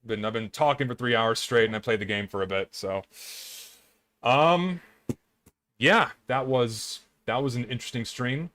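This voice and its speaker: A hoarse, tired young man chatting casually on a live stream, rambling and blunt with plenty of swearing. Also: mid-range voice, normal speed, fairly bright tone.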